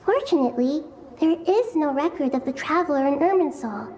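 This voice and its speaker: A woman voicing a gentle, childlike goddess in a video game, speaking politely and earnestly. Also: high voice, lively intonation, fairly quick, a little hiss or hum. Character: gentle, childlike female deity, polite and wise. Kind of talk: scripted video game dialogue.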